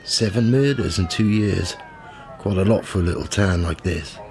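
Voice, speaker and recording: A man's voice: low-pitched, normal speed, darkish tone, a little hiss or hum. A man in his fifties playing a cynical, world-weary journalist in a radio play, with a wry, dry manner. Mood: cheeky, probing. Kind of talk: radio play narration and dialogue.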